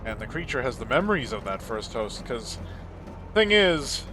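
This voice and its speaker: A man's voice: mid-range voice, very animated, moderate pace, over music. A man giving in-character video game commentary with a wry, playfully menacing manner. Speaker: gaming video host.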